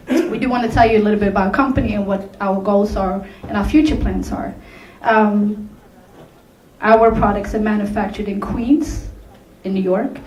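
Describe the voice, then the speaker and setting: A woman pitching her company to judges in an upbeat way, with laughing asides. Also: darkish tone, a little hiss or hum. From Tunisia, raised in Sweden. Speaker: contestant presenting her company. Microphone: distant from the recording mic. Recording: echoey hall recorded from far off.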